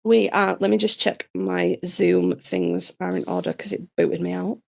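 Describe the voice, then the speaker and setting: A woman co-hosting a podcast in casual chat, a little hoarse and nasal from being sick, telling stories in a chatty, swearing way. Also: on the low side, normal speed. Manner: chatty, swearing, animated storytelling. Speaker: podcast co-host.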